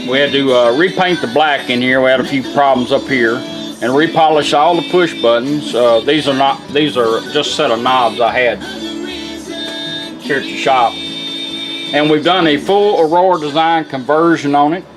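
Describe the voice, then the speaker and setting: A man's voice: mid-range voice, moderate pace, over music, rough recording. A man giving an informal, folksy product demonstration, explaining how things work as he goes. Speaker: radio restorer demonstrating a product.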